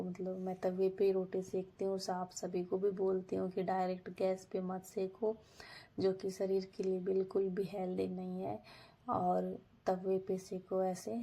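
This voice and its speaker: A woman with a sore throat narrating her daily routine in a low, breathy half-whisper, unhurried and homely. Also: completely flat delivery, warm tone. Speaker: vlogger.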